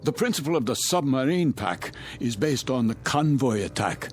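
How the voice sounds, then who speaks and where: An actor in a radio drama playing a troubled German passenger, formal, precise and hesitant. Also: male voice, medium pitch, animated, moderate pace, faint music behind, close to an everyday voice. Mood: cold, exact.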